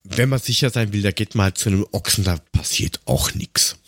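A man from Lower Austria with an Austrian accent, chatting casually and sarcastically as a podcast co-host, given to ranting and crude jokes. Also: fairly quick, a bit bright, no echo, studio quality. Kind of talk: casual chat.

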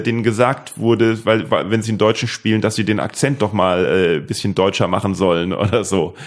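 A man from Germany hosting a podcast in casual chat, often repeating himself as he searches for words. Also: fairly low pitch. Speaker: podcast host.

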